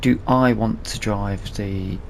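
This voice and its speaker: A British man with a fairly low voice and a creaky edge talks his way through a video game. He speaks casually and off the cuff, thinking out loud. Clear vehicle noise and game ambience run behind him. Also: fairly even intonation, takes it slowly. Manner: unscripted, thinking aloud. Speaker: gaming video host. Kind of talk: casual let's play commentary. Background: farming game vehicle and ambience sounds.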